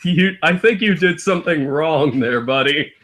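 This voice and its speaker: A man's voice: fairly high pitch, normal speed, a bit bright. A man making casual, joking commentary as he reacts to a story. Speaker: co-reader and commentator on a live reading stream.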